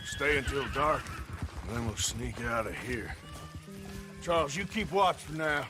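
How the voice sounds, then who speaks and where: An actor playing a weary, gruff male outlaw who gives blunt, dry orders in a video game cutscene. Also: mid-range voice, animated, measured pace, music in the background, natural voice. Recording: game score playing behind.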